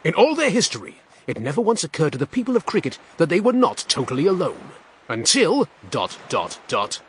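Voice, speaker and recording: A man's voice: a little high, huge pitch swings, fairly quick, a little hiss or hum, pitched above the performer's own voice. A man voicing grand narrating text in the sky in an audiobook, declaiming each phrase. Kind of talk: audiobook reading.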